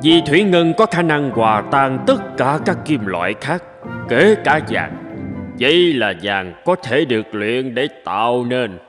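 Proud, animated old alchemist. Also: male voice, mid-range voice, long reverb tail, music in the background. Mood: proud, mysterious. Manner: grandiose, deliberate lecturing. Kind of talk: animated tale dubbing.

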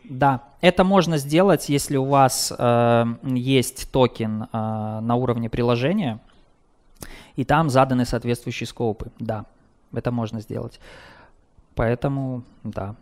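A man's voice: mid-range voice, a little slow, darkish tone. Male presenter, 30s, technical talk and Q&A, hesitant with fillers, dim projector room. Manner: hesitant, many filler sounds. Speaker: presenter at a developer meetup.

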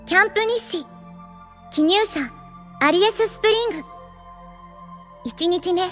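A very high-pitched earnest teenage girl. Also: unhurried, soft background music. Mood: solemn narration. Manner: polite, soft-hearted, earnest. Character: earnest, timid, polite teenage girl space cadet. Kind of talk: Japanese voice drama acting.

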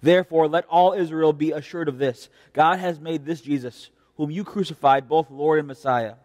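Young American pastor in a reverberant church. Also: male voice, fairly high pitch, steady tone, normal speed, darkish tone. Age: young adult. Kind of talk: devotional preaching with scripture reading. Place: indoor church chancel. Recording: reverberant church, mic at a distance.

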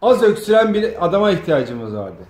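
A man's voice: sits high, sing-song, normal speed, darkish tone. A man casually directing a comedy skit, prompting and instructing the others in a bossy way. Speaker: off-camera host directing a family comedy skit. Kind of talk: casual improvised skit banter. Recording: roomy echo with faint noise.

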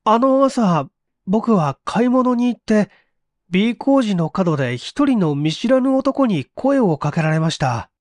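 A man voicing an agitated, desperate accused man giving halting, strained testimony in a story reading. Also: high voice, sing-song, darkish tone, dry recording, studio quality, voice pushed up. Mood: agitated pleading.

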